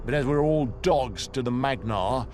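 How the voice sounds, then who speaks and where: An actor playing a cynical, resigned prisoner in a video game, wry and matter-of-fact. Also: male voice, medium pitch, expressive, normal speed, a little mellow, slight background noise, lightly acted. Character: cynical, resigned seasoned male prisoner in a cage. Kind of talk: dramatic video game acting.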